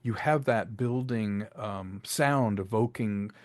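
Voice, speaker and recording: A man's voice: on the low side, slow pace, dry recording. Man explaining his craft, close dry sound. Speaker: interview guest, filmmaker and audio drama creator. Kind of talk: casual, explanatory interview talk.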